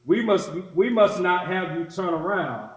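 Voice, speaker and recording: An earnest civil rights era preacher played by a man delivers a stage sermon in deliberate, oratorical phrases. His voice sits fairly high for a man and rings in an echoey hall. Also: animated. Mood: solemn, exhorting. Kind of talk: staged sermon in readers theatre.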